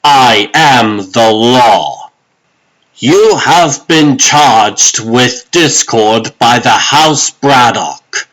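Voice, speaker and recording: A man playing a stern sheriff judge in a comic dub, formal, commanding and deliberate. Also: mid-range voice, a bit bright, low-quality recording. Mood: stern, pronouncing. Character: stern, authoritative sheriff judge reading out charges. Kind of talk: comic dub character acting.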